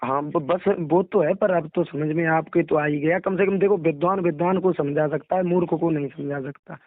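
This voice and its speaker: A man's voice: fairly high pitch, steady tone, moderate pace, dry recording. A young man, a religious storyteller talking casually on a phone call, explaining in a deferential way. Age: young adult. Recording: recorded phone call, narrow dry sound.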